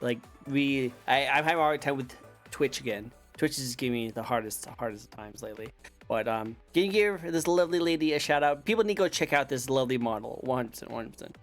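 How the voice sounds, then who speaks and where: A man hosting a casual interview, excitable and joking, repeating himself and swinging from loud bursts to mumbling. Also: mid-range voice, fast talker, faint music behind. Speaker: host.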